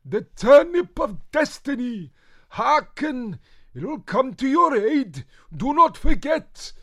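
A man reading aloud and voicing a cranky, bossy old woman seer, high and theatrical. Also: very animated, takes it slowly. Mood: shrieking.

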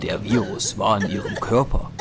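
A man's voice: on the low side, fairly even intonation, moderate pace. A man narrating a scripted dramatic audio story. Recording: very loud music and battle effects behind.

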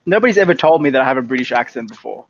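A young Australian man of middling pitch speaks in an easygoing, earnest way, padding his sentences with fillers as he co-hosts a casual podcast. The sound is dull and a bit muffled. A faint hiss sits underneath. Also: expressive, rapid-fire. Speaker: podcast co-host. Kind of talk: casual chat.

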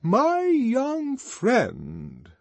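A man voicing a pompous, solemn male church dignitary with a ringing, sepulchral voice and slow, grave delivery. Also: extremely high pitch, huge pitch swings, gravelly, no room sound, voice pushed up, heavily acted. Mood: severe.

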